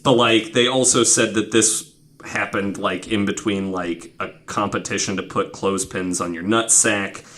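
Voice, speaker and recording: A man's voice: lowish voice, fairly even intonation, moderate pace, a bit bright, a little hiss or hum. A man hosting a podcast, telling stories casually to co-hosts in an informal, wryly skeptical way with some swearing. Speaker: podcast host.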